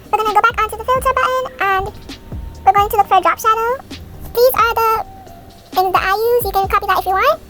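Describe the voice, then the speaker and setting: Very high-pitched young woman over music. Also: sharp tone. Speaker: video creator giving a tutorial. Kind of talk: casual step-by-step tutorial voice-over. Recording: music behind the voice. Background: background music.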